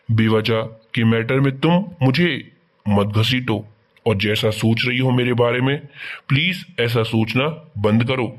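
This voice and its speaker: A man's voice: medium pitch, close to an everyday voice. A man playing a principled schoolteacher, defensive but understanding, in scripted cartoon dialogue.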